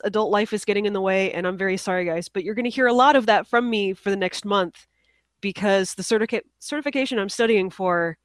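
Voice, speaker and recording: A woman chats casually as a podcast co-host, her nose stuffed up from a cold. She sounds apologetic and self-deprecating and laughs at herself often. The sound is dry and close, with no room echo. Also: mid-range voice. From United States. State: congested from a cold. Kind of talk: casual chat.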